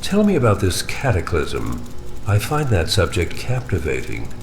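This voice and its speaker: An actor playing a menacing, silkily polite starship captain who probes like an interrogator in a sci-fi audio drama. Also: male voice, deep voice, wildly swooping pitch, creaky, soft background music, exaggerated voice. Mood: hungry interest. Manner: measured, courteous, probing interrogator.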